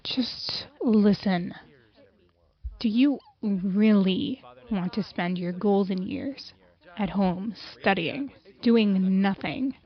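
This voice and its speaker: Brief: a young woman doing a bossy teen friend, unhurried, lecturing, lo-fi recording. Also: medium pitch, slightly rough. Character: blunt teasing teenage girl friend. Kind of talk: amateur audio drama acting. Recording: muffled lo-fi recording.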